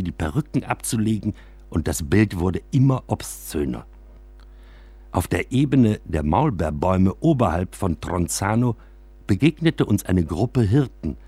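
A man reading a scripted literary story for radio as a first-person narrator, with expressive storytelling. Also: lowish voice, slightly rough, no echo.

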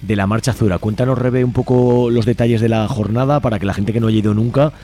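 A man's voice: medium pitch, steady tone, quick pace, dark tone. A man with an Aragonese accent from Spain hosting a casual community radio interview, colloquial and often checking for agreement. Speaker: radio show host interviewing a guest.

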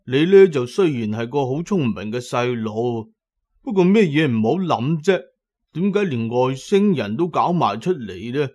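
A man with a Cantonese accent playing a stern, reproving teacher in an audio story, speaking loudly. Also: medium pitch, animated, unhurried. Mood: scolding. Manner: loud, reproving. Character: stern, scolding male archaeology teacher, strict and serious.

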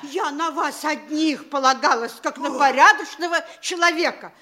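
A woman's voice: high-pitched, normal speed, sharp tone. An actress playing a fussy, impatient, bossy middle-aged provincial mother in a theatrical classic stage comedy, exclaiming and scolding. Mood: reproachful, demanding.

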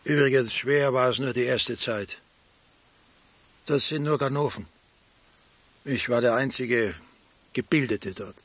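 An actor playing a quiet, educated labour-camp prisoner with a husky voice and a wistful storyteller's manner. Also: male voice, mid-range voice, a little slow, pauses often, no echo. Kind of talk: radio play dialogue.

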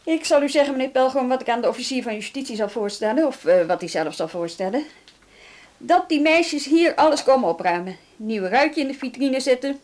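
A woman's voice: sits high, animated, brisk, darkish tone. An actress in a radio drama playing a cool, skeptical policewoman with a dry, businesslike manner. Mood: dry, unimpressed.

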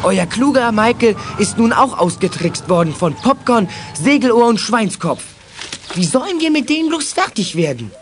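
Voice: medium pitch, big pitch swings, moderate pace, a little hiss or hum, close to an everyday voice. An actor playing an outspoken, sarcastic youngster in a detective gang, in a children's radio play. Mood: sardonic. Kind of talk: 1980s German children's radio play acting.

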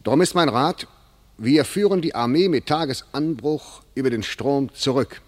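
A man's voice: mid-range voice, moderate pace, natural voice. A man acting a stern, blunt war leader in a classical verse radio drama, emphatic and declamatory. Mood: resolute. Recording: long hall reverb.

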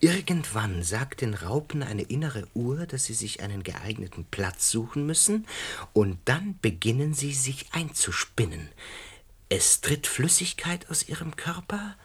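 An actor playing an earnest, awed lodger in a radio drama, grave and halting, with a breathy, whispery voice. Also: male voice, medium pitch, big pitch swings. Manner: halting, hushed, grave, deliberate. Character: earnest male lodger and butterfly breeder, awed by dread. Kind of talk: German radio drama acting.

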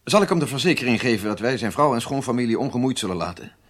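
Stern, methodical police major. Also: male voice, medium pitch, animated, fairly bright tone. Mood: deferential. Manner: formal, measured, insistent. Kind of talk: radio drama acting.

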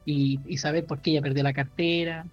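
A man co-hosting a podcast, casually recommending a book in a halting way, full of fillers and restarts. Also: on the high side, little pitch movement, lo-fi sound, soft background music. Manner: halting, many fillers and restarts while explaining. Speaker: podcast co-host.

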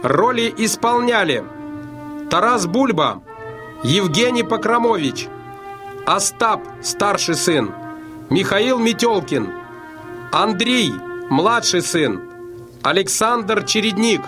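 A man announcing a radio drama in a formal, measured style, reading scripted credits. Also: high voice, big pitch swings. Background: music behind the voice.

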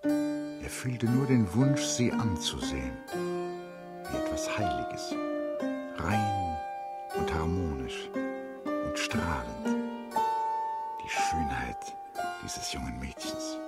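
Male narrator for literary radio drama: low voice, measured phrasing, expressive, set over prominent music.

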